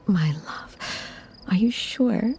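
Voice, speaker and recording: A woman playing a protective, possessive female vampire who speaks softly and tenderly to her mortal lover in an audio roleplay. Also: medium pitch, animated, takes it slowly, heavily acted. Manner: soft, soothing endearments, breathy, genteel. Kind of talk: scripted ASMR audio roleplay.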